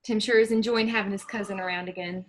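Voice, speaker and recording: A woman reading the part of Candace, a caring farm wife who coaxes gently, with a Kentucky accent, in a staged play reading. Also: medium pitch, steady tone, fast talker, close to an everyday voice. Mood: coaxing. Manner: gentle, coaxing.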